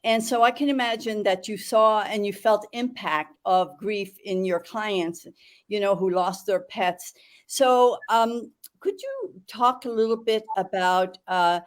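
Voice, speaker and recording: A woman's voice: mid-range voice, a little slow. Chatty older woman host on a video call. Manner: chatty, effusive, with fillers and self-corrections. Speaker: interview host. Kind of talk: casual interview chat.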